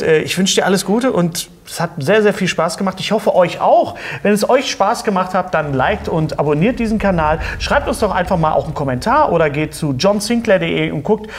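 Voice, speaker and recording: A middle-aged man from Germany chatting casually and jokingly as host of an interview show. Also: fairly high pitch. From Germany, grew up around Bottrop. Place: small indoor talk-show studio.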